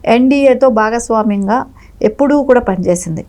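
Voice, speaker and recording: A woman's voice: fairly high pitch, normal speed, a little mellow. A woman giving emphatic political commentary as a party spokesperson in an interview.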